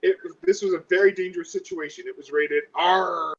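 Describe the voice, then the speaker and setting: A woman's voice: lowish voice, steady tone, brisk, husky. Sarcastic woman on a dull, lo-fi mic. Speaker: tabletop game player. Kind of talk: casual banter.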